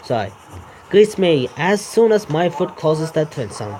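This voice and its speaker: A man's voice: a little high, big pitch swings, measured pace, darkish tone. A man reading visual novel narration aloud, stumbling and repeating words with muttered asides. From India.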